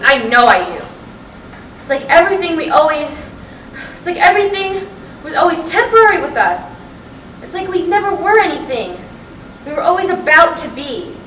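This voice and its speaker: Actress as frustrated middle-aged wife, very high bright voice, insistent, emotional stage drama, recorded from afar. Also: female voice. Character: frustrated, restless middle-aged wife urging her husband on. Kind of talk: staged play reading. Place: roomy hall, staged reading at a college. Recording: distant echoey stage recording with noise.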